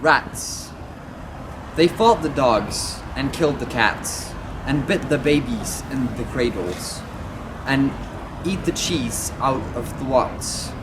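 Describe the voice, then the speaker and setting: Male amateur reciting verse, measured and slightly stumbling, mid-pitched, recorded on a noisy location with vehicle sound. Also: expressive. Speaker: amateur reader.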